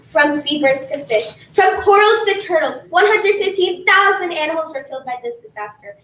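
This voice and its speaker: High-voiced young performer declaiming urgently. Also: female voice, animated, moderate pace, fairly bright tone. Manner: declaiming, urgent news-report delivery. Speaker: student poetry slam performer. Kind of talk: group spoken word performance. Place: school hall stage. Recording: echoey hall from far off, faint noise.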